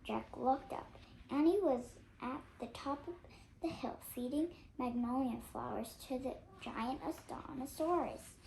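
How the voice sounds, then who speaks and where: Slow, high-pitched child reading a storybook. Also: vocal fry, a little mellow, slight background noise, low-quality recording. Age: child, 8 years old. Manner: halting, stumbles over words and self-corrects. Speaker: young reader on a read-aloud channel. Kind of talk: storybook read-aloud.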